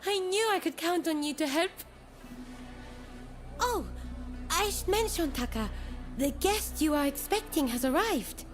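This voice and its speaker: A woman's voice: very high-pitched, animated, unhurried, faint music behind. An actress playing a sweet, devoted young female attendant in a video game cutscene, speaking earnestly and politely. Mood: relieved, grateful.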